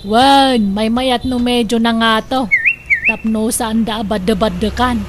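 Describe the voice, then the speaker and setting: High-pitched bossy older Filipino sister played by an actress. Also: female voice, fairly even intonation, a little slow, heavy background noise, natural voice. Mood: insistent. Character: bossy older sister planning siblings' graves. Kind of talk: comedic Ilocano radio drama acting.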